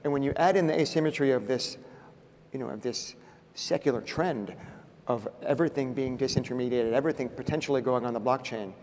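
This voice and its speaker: A man in a mid-range voice lays out a measured, analytical case as a conference panelist. The big hall adds a long reverb, and faint ambience sits underneath. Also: mid-range voice, a little mellow, a little hiss or hum. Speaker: panelist, pension fund adviser. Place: large conference hall stage.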